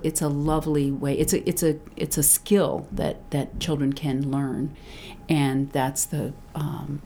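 A woman who illustrates children's books, talking thoughtfully in an interview, often pausing, restarting and laughing. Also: deep voice, dark tone, slight background noise. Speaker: children's book author and illustrator. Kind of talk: reflective interview talk.

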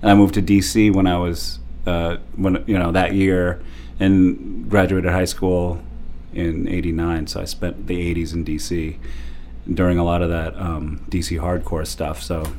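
Man, forties, from Japan, very low voice, laughing storyteller. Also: measured pace, slight background noise. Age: 40s. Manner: animated, laughing, many fillers. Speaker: DJ guest. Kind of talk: casual interview storytelling.

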